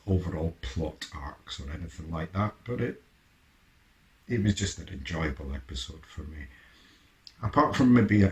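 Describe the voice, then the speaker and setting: A middle-aged man giving casual reaction commentary as a show host, halting and musing as he lets thoughts trail off. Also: sits low.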